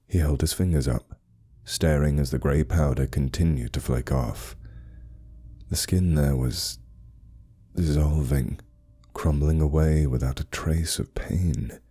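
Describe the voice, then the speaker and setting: A man's voice: very deep voice, unhurried, dark tone, husky. A man narrating a horror story in a dramatic, scripted storytelling style.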